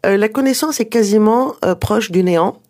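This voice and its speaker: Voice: mid-range voice, normal speed, darkish tone. Native Arabic speaker explaining in an interview. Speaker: interviewed author and researcher on early Islamic texts.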